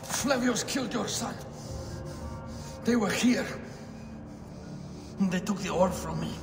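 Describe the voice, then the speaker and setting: Strained, gravelly, urgent messenger. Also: male voice, high-pitched, slow, heavily acted. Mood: urgent, pained. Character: wounded, frightened man giving an urgent warning. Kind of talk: video game cutscene acting. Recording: echoey hall, music behind.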